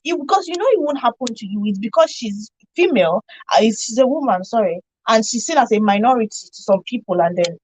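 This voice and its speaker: A woman's voice: a little high, animated, fairly quick, hardly any pauses, darkish tone, husky, rough recording. A woman in an unscripted radio panel discussion, talking emphatically and repeating phrases. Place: radio studio.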